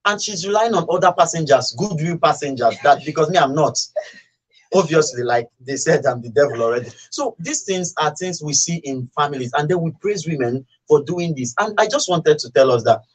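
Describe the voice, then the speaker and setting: A Nigerian man with a fairly high-pitched voice talks casually on a radio show. He argues his views in an animated way and slips into other people's words as he tells a story. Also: fairly quick, low-quality recording. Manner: animated storytelling, acting out quoted remarks. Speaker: radio talk show speaker. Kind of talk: casual opinionated radio discussion.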